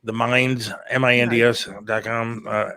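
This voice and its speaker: A man's voice: mid-range voice, flat delivery, unhurried, sharp tone, clear, resonant tone. A man co-hosting a casual talk show, speaking in an earnest, slightly hesitant, peacemaking way.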